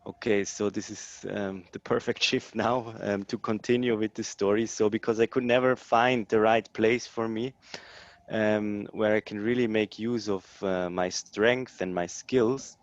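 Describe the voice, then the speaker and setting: A man in his thirties from Switzerland, answering interview questions in a hesitant way with many pauses and ums. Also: fairly low pitch, fairly even intonation, no echo, highs cut off. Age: 30s. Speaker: social entrepreneur guest.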